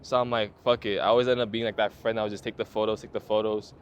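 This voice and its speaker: A man's voice: on the low side, flat delivery, fast talker, slight background noise. A man in his twenties, a photographer, telling his story in a laid-back interview with lots of slang, fillers and swearing. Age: 20s. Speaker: photographer guest.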